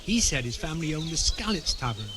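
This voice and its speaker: A man's voice: a little high, big pitch swings, fast, crowd noise. An actor playing an impatient, gossipy medieval man in a video game, brusque and sardonic. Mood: gossiping. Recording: music behind the dialogue. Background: background music.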